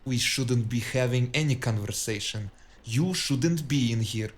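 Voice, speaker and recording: A man's voice: medium pitch, unhurried, natural voice. A male actor playing a scornful, guarded tough guy who refuses to back down, in a noir audio drama. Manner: contemptuous, guarded. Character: defiant, scornful male cyberpunk tough cornered at gunpoint. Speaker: voice actor playing the detective's opponent.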